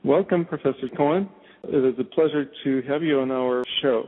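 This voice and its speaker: A male show host reading a formal introduction and interviewing a guest. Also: medium pitch. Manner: measured, formal, stumbles now and then. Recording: tinny phone line.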